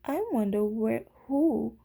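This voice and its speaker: A woman's voice: fairly high pitch, takes it slowly, creaky, mellow, breathy edge, voice pushed up, full character voice. A woman voicing a kindly, inspired male artist in a children's storybook. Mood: kindly musing. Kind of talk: children's storybook read-aloud.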